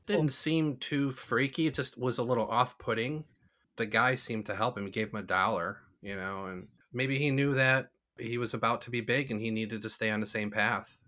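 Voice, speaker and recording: A man's voice: mid-range voice, moderate pace, sharp tone. A man co-hosting a talk show, telling an anecdote in an informal storytelling style.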